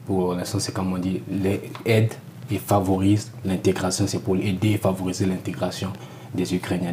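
A young man with a low, dark voice and an even, level delivery speaks into a lapel mic in a small TV studio. He answers in a measured way, often restating his points, and a faint ambience sits behind him. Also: a little hiss or hum. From Republic of the Congo. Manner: measured, repeats and rephrases. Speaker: graduate student, committee volunteer. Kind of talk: studio TV interview.

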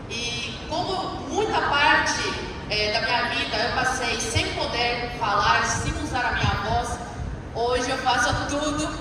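A young woman from Cuba with a Cuban Spanish accent, giving an impassioned speech on stage with a handheld mic. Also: on the high side. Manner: emphatic, impassioned testimony. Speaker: panel speaker. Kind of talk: public speech at an event. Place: large stage hall. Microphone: handheld mic, filmed from far off. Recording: echoey hall from far off, noisy.

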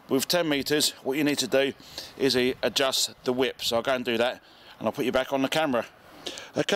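A man's voice: mid-range voice, a bit bright. A middle-aged man with a British accent giving a casual hands-on demonstration, recorded outdoors in a garden. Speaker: amateur radio video presenter.

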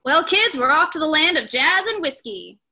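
Brash bright-voiced woman reading comedy. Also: sits high, sing-song, moderate pace. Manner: brash, bawdy comic delivery. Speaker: actor reading several roles. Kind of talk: table read acting.

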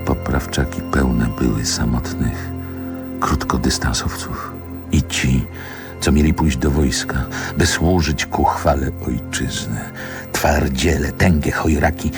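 An actor playing a brooding grown man who lyrically recalls a rough city childhood, with measured, deliberate phrasing in a poetic radio drama. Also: rumbling low, exaggerated voice. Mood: nostalgic, melancholy. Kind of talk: poetic radio play monologue. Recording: music under the voice.

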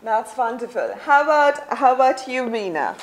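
A woman in her thirties hosting a studio talk show, asking measured questions with frequent restarts on a lapel mic. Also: a little high, very animated, rough recording. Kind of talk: studio interview. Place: TV studio set.

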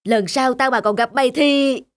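A woman voicing a scheming, grumbling male farmer in an animated folk tale, given to exclamations. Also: sits high, moderate pace, natural voice.

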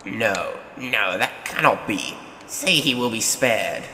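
An actor playing a proud old miser in an audio play, formal and stiffly dignified. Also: male voice, on the low side, lively intonation, slow pace, sharp tone, a little hiss or hum, heavily acted. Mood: pleading.

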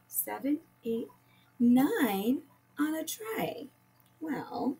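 Slow-paced woman teacher with lively pitch. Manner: patient, simple step-by-step explaining to children. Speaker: primary math teacher. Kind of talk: children's lesson and picture book read-aloud.

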